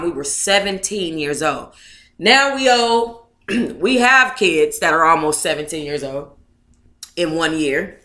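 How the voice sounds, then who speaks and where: A woman's voice: fairly low pitch, fairly bright tone, reverberant. A woman in her thirties reading aloud casually and breaking in with blunt, sassy, profane commentary. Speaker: book club host reading aloud and commenting.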